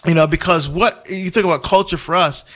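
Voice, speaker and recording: Animated Barbados-born deacon, higher voice. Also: male voice, brisk. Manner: animated storyteller, many fillers and restarts, frequent laughs. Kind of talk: casual conversational chat.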